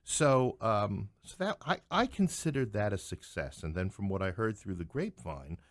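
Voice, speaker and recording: A middle-aged man speaks in a low voice, recorded close and dry. He talks conversationally as an interview guest, slipping in wry, joking asides as he explains things. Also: animated, moderate pace, no room sound. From New York City. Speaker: playwright and podcast guest.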